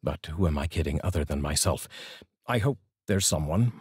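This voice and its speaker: A middle-aged man with a very low, husky voice. He speaks as a poetry reader, with deliberate phrasing. The sound is close and dry, from a studio microphone. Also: lively intonation, darkish tone, no echo. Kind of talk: poetry reading with casual introduction. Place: small dark indoor studio. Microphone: large studio microphone, close.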